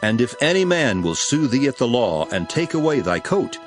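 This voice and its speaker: An actor playing a solemn, authoritative male preacher in a dramatized King James Bible reading, declaiming in a measured, emphatic way. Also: medium pitch, very animated, music in the background, natural voice.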